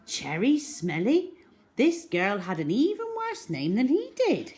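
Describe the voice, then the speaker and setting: Slow, animated woman reading a children's story. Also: fairly high pitch. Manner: dramatic, animated.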